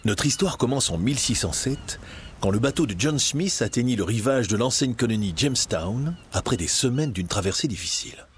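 A man narrating a storybook tale in an expressive, scripted reading. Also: medium pitch, fairly quick, slight background noise.

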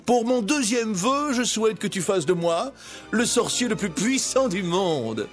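A man's voice: sits high, big pitch swings, unhurried, faint music behind, voice pushed up. A man voicing a scheming male vizier turned sorcerer, honeyed and mocking, in a storybook reading. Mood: commanding.

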